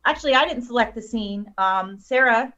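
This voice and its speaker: A woman's voice: on the high side, moderate pace, sharp tone, lo-fi sound. A woman guest explaining things in casual chat, as a screenwriter would on an online show.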